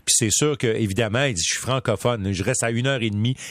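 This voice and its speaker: A man's voice: medium pitch, fast talker, no echo. Quebec male hockey reporter, middle voice, dry sound, talky and anecdotal. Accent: Quebec French. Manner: chatty, storytelling, many fillers and restarts. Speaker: sports reporter. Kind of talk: radio sports talk.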